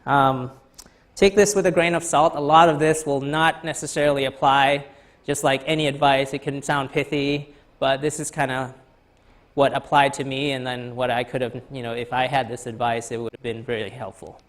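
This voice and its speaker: A man from Thailand in his thirties giving a conference talk in a lecture hall, halting with many fillers and restarts. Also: mid-range voice, level tone. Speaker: guest speaker.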